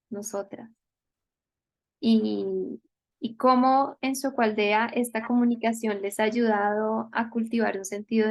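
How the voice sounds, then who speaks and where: A woman moderator gently introducing a guest and welcoming viewers to a session. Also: mid-range voice. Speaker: host, moderator.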